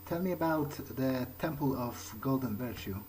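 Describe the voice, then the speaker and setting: A man's voice: medium pitch, normal speed, a little mellow, slight background noise. A man speaking casual in-character dialogue as he plays a game, with hesitant, conversational phrasing. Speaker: let's player improvising in character. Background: game soundtrack music.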